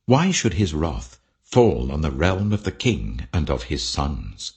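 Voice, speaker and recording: A man's voice: rumbling low, very animated, slow, a little mellow, voice pushed down, exaggerated voice. A British man voicing an imperious ancient king proclaiming a decree, commanding and stately, in a dramatic scripture audiobook.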